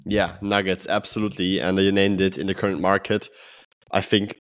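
A man hosts a podcast in a fairly low voice, full of enthusiastic, effusive remarks. His voice sounds dry and close, with no room echo. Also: flat delivery, moderate pace, fairly bright tone. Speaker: podcast host. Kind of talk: podcast interview.

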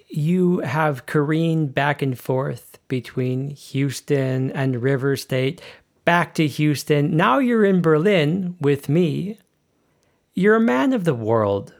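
Podcast host, man, slow and deliberate, gravelly, lively pitch, teasing interviewer. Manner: slow, emphatic, playful questioning. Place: Berlin.

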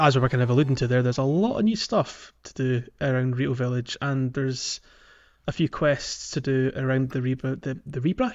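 Male podcast co-host, mid pitch, casual explainer, slightly dull recording over soft music. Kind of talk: casual gaming podcast chat.

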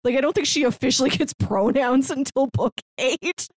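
A woman co-hosting a podcast, chatting casually and telling stories in an animated way, acting out the lines she quotes. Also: high-pitched, moderate pace. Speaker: podcast host. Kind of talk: casual chat about a manga.

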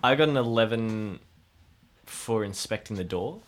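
A man's voice: fairly low pitch, lively intonation, a little slow, slight background noise. A man playing in an improvised tabletop game, bantering casually and describing what his character does. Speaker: player in an audio drama game.

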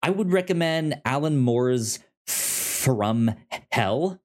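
Guy cohosting a podcast, slow easygoing talk, kinda halting, thinking out loud while recommending stuff. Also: medium pitch, lively intonation. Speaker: podcast co-host. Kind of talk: casual recommendations chat.

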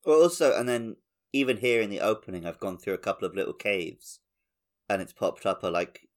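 A man's voice: lowish voice, a little fast. A man talking casually as a co-host on a gaming podcast.

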